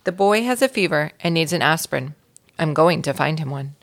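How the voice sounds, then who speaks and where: A woman's voice: low voice, expressive, brisk. A woman voicing a brave, determined young male mouse with staunch, dramatic delivery in a storybook.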